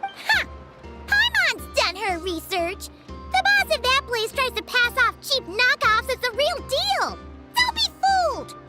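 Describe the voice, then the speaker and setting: A woman voicing a tiny floating fairy companion who is bubbly and boastful, chirpy and excitable, in video game dialogue. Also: very high voice, unhurried, heavily acted. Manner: excitable, chirpy, talks of herself in third person. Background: game music.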